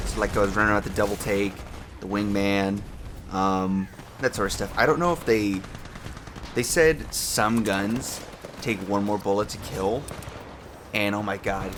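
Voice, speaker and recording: A man's voice: fairly low pitch, background noise. A young man just out of bed giving casual, rambling commentary as a show host, swearing, restarting sentences and trailing into fillers. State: voice sounds a little off from just waking up. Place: home room with a fan.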